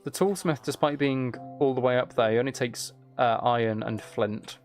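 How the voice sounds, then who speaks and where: Musing man with creaky voice, gaming. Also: mid-range voice, normal speed, faint music behind. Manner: musing, self-talking, hesitant planning. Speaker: gaming streamer. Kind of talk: casual gameplay commentary, thinking aloud. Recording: keyboard clicks and typing noise. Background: faint noise, computer keyboard and mouse clicks.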